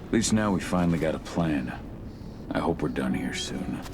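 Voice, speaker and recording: A man playing a gruff, brooding, protective werewolf fighter in a video game, blunt in the way he talks. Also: rumbling low, animated, normal speed, over loud music. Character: brooding, combative werewolf husband, wary and protective. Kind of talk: dramatic video game acting.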